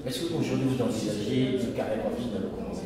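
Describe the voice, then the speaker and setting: Guy firing off quick interview questions, lots of "en fait", big reverby room. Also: medium pitch. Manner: quick, filler-heavy questions. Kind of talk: on-site interview. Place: large reception room at an embassy. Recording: echoey hall, far mic, crowd behind.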